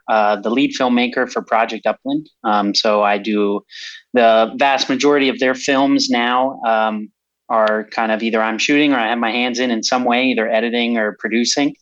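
A man who works as a filmmaker, talking as a podcast guest over a remote call in a relaxed way with plenty of fillers. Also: mid-range voice, moderate pace, dry recording, dull audio. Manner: relaxed, filler-heavy talk. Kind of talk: casual interview answers.

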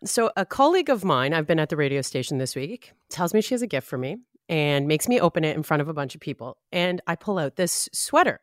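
Woman, radio host, self-deprecating storyteller, lively, dry room. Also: low-pitched, a little fast, few pauses, no echo. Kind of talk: casual chat.

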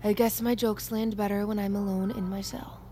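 Audio drama role: actress as young female private in custody, mid-pitched, wisecracking and sarcastic, over faint ambience. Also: fairly even intonation, normal speed, dark tone, a little hiss or hum, natural voice. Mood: sarcastic, flippant. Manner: sarcastic, flippant, joking. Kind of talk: naturalistic audio fiction acting.

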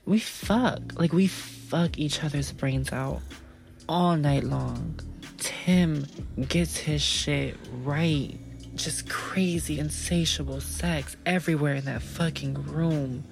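An actress playing a bold young woman who describes a fantasy in a breathy, unfiltered, swearing way, in an audio drama. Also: low-pitched, slow pace, music in the background, full character voice. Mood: brash.

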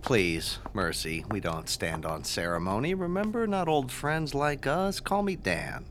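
A man playing a nervous, ingratiating school guidance counselor in his twenties in an audio drama, speaking haltingly. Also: medium pitch, huge pitch swings, a bit bright, slight background noise. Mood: overfriendly. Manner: hesitant, ingratiating, halting with ums.

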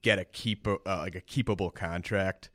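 A man with a very low voice speaks on a podcast, his voice rough and raspy. He talks haltingly and keeps restarting his words. The recording is dry and close. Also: fairly even intonation, a bit bright, no echo. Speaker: prospect writer, co-host. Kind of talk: casual fantasy baseball chat.

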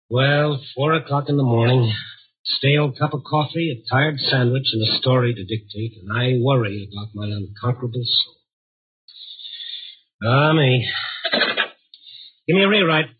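An actor playing a world-weary, wry newspaper reporter who narrates an old radio drama in hard-boiled style. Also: male voice, medium pitch, lightly acted. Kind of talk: 1950s radio drama first-person narration. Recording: tinny old radio recording, faint music.

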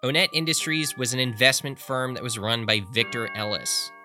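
An actor playing a probing, measured public radio journalist in an audio drama, interviewing and narrating documentary style. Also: male voice, mid-range voice, sharp tone. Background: instrumental music.

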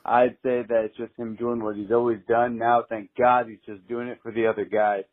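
A man's voice: medium pitch, level tone, a little fast. A man leaving a casual, matter-of-fact voicemail over a narrow phone line.

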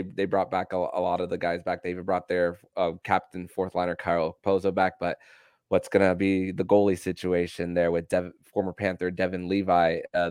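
A man hosting a sports podcast with casual commentary, speaking haltingly and often stumbling over repeated words. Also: deep voice, a little mellow, smooth, no room sound, studio quality.

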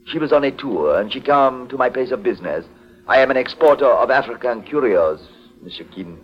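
An actor playing a polite, anxious foreign exporter in a 1940s radio detective drama, speaking formally and hesitantly. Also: male voice, medium pitch, lively intonation, a little fast, lightly acted. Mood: earnest, uneasy. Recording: dull old 1940s radio broadcast.

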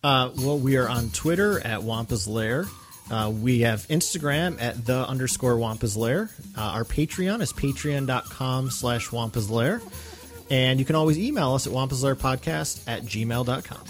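A man co-hosting a fan podcast, speaking in an earnest, thankful, steady way. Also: medium pitch, normal speed, faint music behind. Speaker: podcast co-host. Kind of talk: casual podcast chat.

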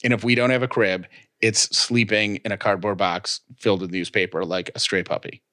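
A man co-hosting a podcast with his wife, talking in an animated, rambling, self-mocking way. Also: on the low side, fairly quick. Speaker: podcast co-host. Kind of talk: casual chat.

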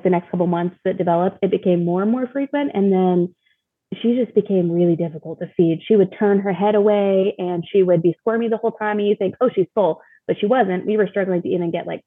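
Young female interview guest, slightly husky, candid casual storyteller, heard over a thin phone-like connection. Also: on the low side, a little fast, no echo. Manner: informal, candid, often uses "like". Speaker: interview guest, mother sharing her experience. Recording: thin, phone-like call audio.

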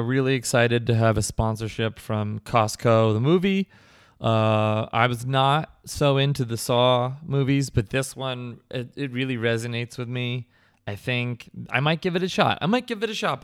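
A man hosting a comedy podcast, rambling in a mock-earnest, deadpan way. His voice is smooth and resonant at an average pitch, recorded close and dry. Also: normal speed, dry recording. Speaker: podcast host.

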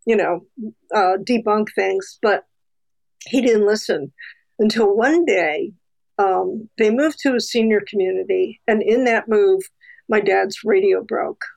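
Gravelly-voiced woman telling a family story. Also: mid-range voice, a little slow, darkish tone, low-quality recording. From New Jersey, USA. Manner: chatty, candid, frequent fillers and restarts. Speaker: documentary filmmaker guest. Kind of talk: casual interview storytelling.

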